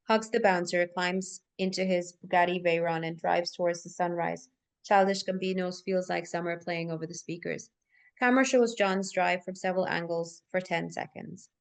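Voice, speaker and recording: Female narrator for a screenplay table read, lowish voice, reads directions with the odd stumble. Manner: stumbles and repeats words while reading aloud.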